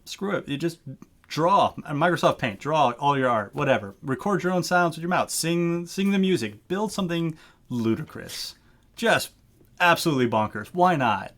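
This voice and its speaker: A man co-hosting a game dev livestream, talking excitedly and playfully into a headset mic from a room at home. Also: fairly high pitch, normal speed. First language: English. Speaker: game dev teacher, co-host. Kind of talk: casual livestream chat.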